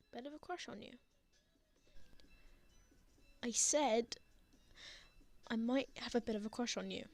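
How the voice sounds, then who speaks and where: A woman playing a prickly, flustered, lovestruck young man in an intimate ASMR roleplay, with halting, sardonic delivery. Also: on the high side, no room sound. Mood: shy, tender.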